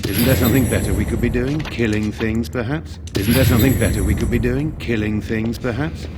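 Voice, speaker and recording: A man's voice: medium pitch, fairly quick, noisy background, natural voice. An actor playing a sarcastic, impatient male warrior in a fantasy video game. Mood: sardonic, bored. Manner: sardonic, impatient. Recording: loud game music behind.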